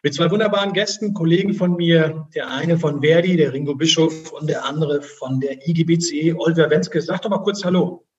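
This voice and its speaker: A cheerful man hosts a casual podcast chat and draws his guests out. His voice sits a little high. The audio is dull and lo-fi. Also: fairly quick, hardly any pauses. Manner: upbeat and inviting. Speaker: podcast host.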